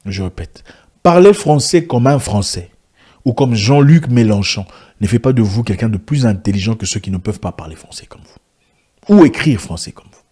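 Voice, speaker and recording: A man's voice: lowish voice, animated, moderate pace, dark tone, husky. A man whose first language is an African language, giving an impassioned monologue to camera with rhetorical questions and frequent restarts. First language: not French; an African language. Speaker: online video commentator.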